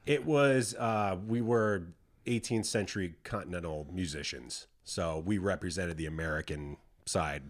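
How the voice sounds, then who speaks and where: A man's voice: sits low, a little slow. A man talking casually as a musician guest on a podcast, easygoing and self-deprecating. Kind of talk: casual chat.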